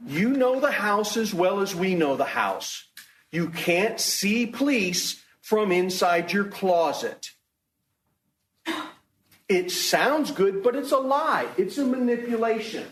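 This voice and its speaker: A male prosecutor with a high voice gives a closing argument in a roomy, echoing courtroom. He speaks slowly and emphatically, hammering points home through repetition. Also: lively intonation, fairly bright tone. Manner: emphatic, deliberate, repetitive rhetoric. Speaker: prosecutor addressing a jury. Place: indoor courtroom. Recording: echoey courtroom recording.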